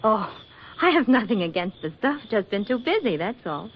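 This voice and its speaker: An actress playing a flippant, independent career-woman photographer with a soft romantic streak, in 1940s radio drama style. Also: mid-range voice, very animated, moderate pace, husky. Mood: wry, wistful. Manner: breezy, flippant banter. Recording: muffled, noisy 1940s radio recording.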